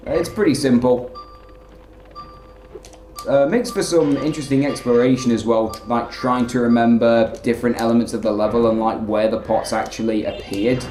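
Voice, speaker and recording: A man giving rambling, filler-heavy let's play commentary in a flat, monotone way. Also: mid-range voice, normal speed, roomy sound, soft background music. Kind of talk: casual gameplay commentary.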